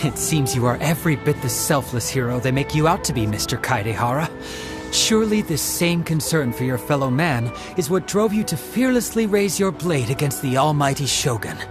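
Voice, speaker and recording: An actor playing a polite, talkative young male detective in a video game, expressive and articulate. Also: medium pitch, moderate pace, close to an everyday voice. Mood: admiring. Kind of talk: video game character acting. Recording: game music behind.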